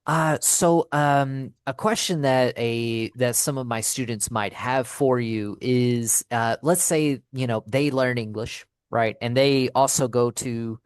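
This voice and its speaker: A male host and English teacher talks at a slow pace in a mid-pitched voice. He builds his questions haltingly and deliberately, checking that he is followed. The sound is very dry, with no room echo. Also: clean tone. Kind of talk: casual interview.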